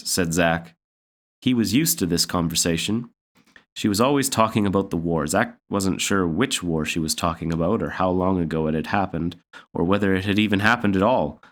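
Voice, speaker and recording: Low-voiced man reading a story. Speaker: story reader. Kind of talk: read-aloud children's story narration.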